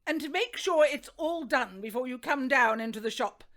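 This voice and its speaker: Loud, strident, bossy wife. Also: female voice, high voice, lively intonation, normal speed. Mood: bossy nagging. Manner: bossy, scolding. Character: domineering, stout, nagging middle-aged wife. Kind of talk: comic radio play acting.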